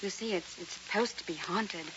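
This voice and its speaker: An actress playing an anxious, affectionate wife in a vintage radio drama, with an eager, earnest, expressive delivery. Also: female voice, mid-range voice, moderate pace, lightly acted. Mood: anxious, confiding. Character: anxious, affectionate young wife in a haunted house. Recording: muffled hissy vintage radio recording.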